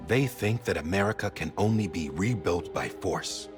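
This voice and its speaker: An actor playing a grave, persuasive government official in a video game, speaking with earnest urging. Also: male voice, deep voice, normal speed, music in the background, natural voice. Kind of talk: cinematic video game acting.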